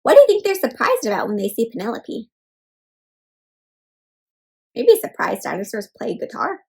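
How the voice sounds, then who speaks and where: High, animated woman reading to children. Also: gravelly, slightly rough. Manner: asks listeners questions, pauses, addresses children as friends. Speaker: read-aloud storyteller and teacher. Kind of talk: interactive children's read-aloud.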